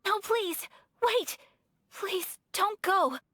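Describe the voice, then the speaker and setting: A woman voicing a refined young noble lady in a video game, with gracious, formal, measured speech. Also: very high-pitched, expressive, pushed and bright, no room sound, clean studio sound, heavily acted. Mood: pleading.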